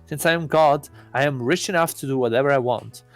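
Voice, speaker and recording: A man's voice: mid-range voice, a little fast, no echo, faint music behind, close to an everyday voice. A man voicing an arrogant god posing as an exchange student, pompous and disdainful, in a visual novel. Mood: insistent boasting. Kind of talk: visual novel read-aloud.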